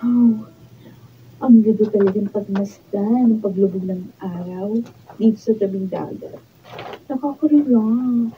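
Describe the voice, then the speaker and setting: A woman's voice: medium pitch, unhurried, lightly acted. A woman playing a playful, earnest sixteen-year-old Filipino girl in a radio drama. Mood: dreamy. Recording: lo-fi phone-like sound, faint background noise.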